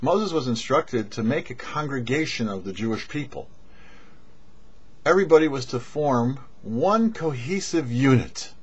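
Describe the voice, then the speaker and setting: A middle-aged man with a husky voice at an average pitch speaks as a rabbi teaching scripture. He is measured and explanatory, leaving deliberate pauses between phrases. The audio is dull and lo-fi. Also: lively intonation. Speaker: rabbi and show host. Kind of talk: short religious teaching to camera. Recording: dull, lo-fi webcam-style audio.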